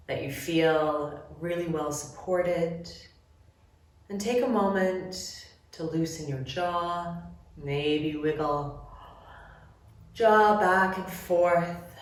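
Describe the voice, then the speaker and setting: Female yoga nidra guide, very slow and gentle, medium pitch, heard at some distance in a heavily reverberant room. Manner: gentle, measured instructions with long pauses. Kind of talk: guided relaxation meditation. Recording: very echoey room, distant mic.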